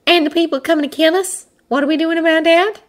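A woman's voice: very high voice, steady tone, quick pace, voice pushed up. A woman voicing a dry, scolding female Arctic hare with a smug, admonishing manner in a storybook reading. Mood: impatient.

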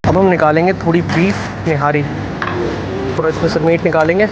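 A man's voice: on the high side, fairly even intonation, a little fast, heavy background noise. A man giving casual food vlog commentary, mixing English phrases into his talk. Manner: Urdu mixed with English phrases. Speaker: food vlogger. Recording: loud music behind the voice. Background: loud music.

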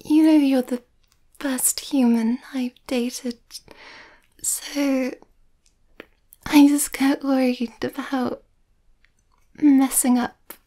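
A woman voicing a shy, sweet, anxious young werewolf girlfriend in a hesitant, soft, half-whispered ASMR roleplay. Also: sits high, little pitch movement, extremely slow, long pauses. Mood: nervous, tender.

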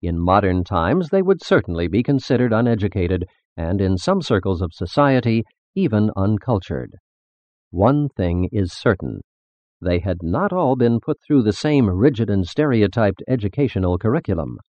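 A man reading a scripted religious narration in a formal, measured manner. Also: on the low side, very animated, darkish tone, no room sound. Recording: muffled phone-like band-limited audio.

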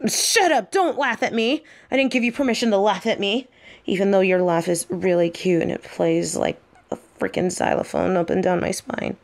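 A woman playing a possessive, brash but secretly smitten bully girl in an audio roleplay, speaking straight to the listener in a bossy, halting way. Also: mid-range voice, vocal fry, natural voice. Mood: flustered, bossy. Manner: bossy, taunting, flustered halting delivery.

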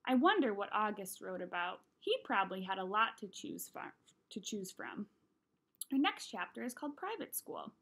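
A woman's voice: mid-range voice, normal speed. A woman in her thirties reading a children's novel aloud expressively, at home into a computer's microphone. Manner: expressive reading, animated in dialogue. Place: small room at home, indoors.

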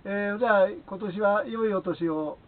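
A man's voice: high-pitched, moderate pace. A man working as an amateur radio operator, chatting politely in an unscripted radio contact. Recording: thin narrowband radio audio, faint noise.

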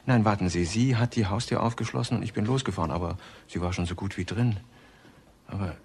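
An actor playing an uneasy, evasive suspect in a crime radio drama, hesitant and guarded. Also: male voice, fairly low pitch, fast talker, a little mellow, slightly rough. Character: evasive, uneasy man, mother's boy under suspicion.

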